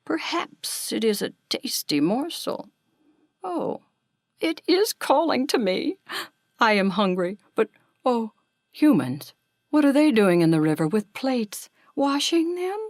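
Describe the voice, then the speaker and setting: A vain, hungry flying creature from a children's storybook, acted by a woman. The voice is high and swoops widely, with theatrical, self-adoring exclamations. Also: big pitch swings, slow pace, no room sound, studio quality, exaggerated voice. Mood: greedy wonder. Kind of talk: animal storybook character voice.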